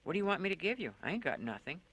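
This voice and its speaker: A man's voice: high voice, racing, breathy edge, voice pushed up, exaggerated voice. Man doing a curt, uncaring loner. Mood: offhand. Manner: curt, flat, offhand. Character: aloof strange young man, curt, uncaring.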